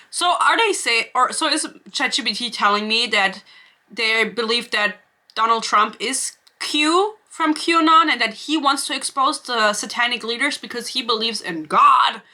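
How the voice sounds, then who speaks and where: Bright-voiced German woman ranting. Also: on the high side. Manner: sarcastic, animated, swearing freely. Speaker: book review YouTuber. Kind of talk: casual ranting vlog. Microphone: camera.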